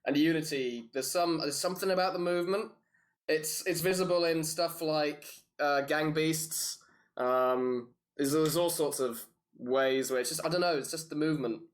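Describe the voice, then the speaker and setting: A man's voice: fairly high pitch, normal speed. A man co-hosting a podcast, talking casually about games in a group chat. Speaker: podcast co-host.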